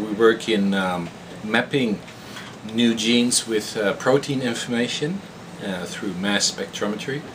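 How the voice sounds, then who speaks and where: A man in his thirties from the Netherlands with a Dutch accent, a researcher informally introducing himself to camera in a laboratory. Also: medium pitch. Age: 30s. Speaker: postdoctoral researcher. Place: indoors in a laboratory. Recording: clear steady room noise in lab.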